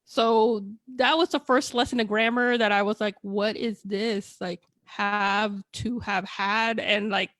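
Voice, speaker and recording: A woman's voice: medium pitch, fairly even intonation, unhurried, few pauses, a bit bright, dry recording. A woman author whose first language is a Chinese dialect and Tagalog, telling her story in a candid, rambling way over a video call. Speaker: author guest. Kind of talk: casual video chat.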